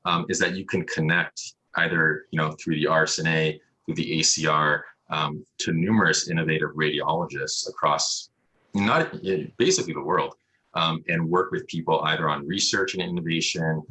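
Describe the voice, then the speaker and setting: Male interview guest, doctor: extremely low, slightly raspy voice, explanatory and conversational, on a video call. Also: steady tone, normal speed, pushed and bright, poor audio. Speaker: emergency radiologist, interview guest. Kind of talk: casual interview answers. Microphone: video call microphone.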